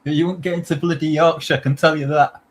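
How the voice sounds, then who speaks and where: A man's voice: fairly high pitch, flat delivery, quick pace, husky. A man from England with an English accent, chatting casually as a guest in a teasing, jokey way. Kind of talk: casual chat.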